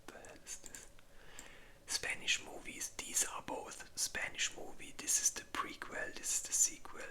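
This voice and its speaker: A host speaking in a breathy, unvoiced whisper, rambling informally in a casual ASMR ranking video. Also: normal speed, sharp tone.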